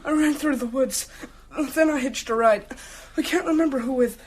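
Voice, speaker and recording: A woman's voice: high-pitched, moderate pace, slight background noise, lightly acted. A woman playing a shaken but fiercely determined young boy in a radio drama. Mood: shaken, defiant.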